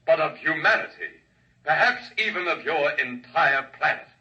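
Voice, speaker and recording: An actor playing a grave alien leader, delivering solemn, deliberate oratory in a 1950s radio drama. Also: male voice, a little high, sharp tone, heavily acted. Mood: grave warning. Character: grave, lofty alien leader addressing assembled humans. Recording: 1950s radio recording, distant mic.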